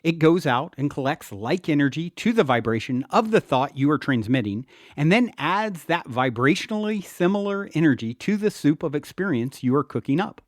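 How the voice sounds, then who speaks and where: A man reading his own self-help audiobook in an earnest, deliberate, instructional way. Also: fairly high pitch, very animated, no echo, clean studio sound.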